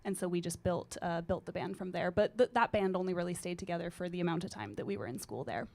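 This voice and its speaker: Low, gritty-voiced woman. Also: quick pace. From British Columbia, Canada. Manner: relaxed, rambling, with frequent fillers. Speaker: singer-songwriter guest. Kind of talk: casual interview chat. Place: college radio studio. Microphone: studio microphone.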